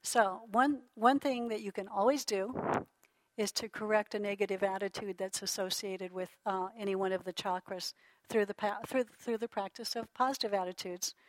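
Woman, middle-aged, lecturer, calm, reflective, frequent restarts, soft laughs, medium pitch, dry close sound. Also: moderate pace, no echo, poor audio. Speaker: lecturer on holistic healing. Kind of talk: relaxed teaching talk to a class.

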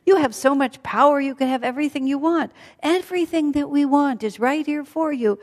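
Woman putting on jeering demoness guards, high, fast, shrill, taunting. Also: long reverb tail, natural voice. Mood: mocking. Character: jeering female demon guards, cruel and mocking.

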